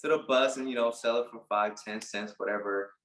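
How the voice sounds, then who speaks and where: A man in his late twenties from Puerto Rico, a barber telling his story in a casual interview, relaxed and halting with many fillers. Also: fairly low pitch, roomy sound. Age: late 20s. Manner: relaxed, halting, lots of "like" and restarts. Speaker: barber and business owner, guest.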